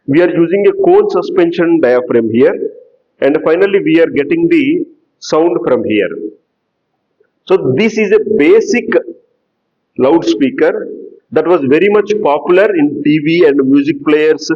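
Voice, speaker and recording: Guy lecturing, slow, explains everything twice, kinda high voice, muffled lo-fi sound. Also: animated, mellow. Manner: slow, explanatory, repeats key terms. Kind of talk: classroom lecture.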